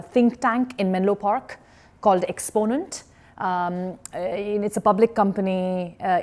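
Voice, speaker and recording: Woman in her 40s giving a startup talk, lots of ums and restarts, medium pitch. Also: darkish tone. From born in India, raised in Singapore. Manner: hesitant, many fillers and restarts. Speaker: engineer and tech investor giving a talk. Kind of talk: conference talk.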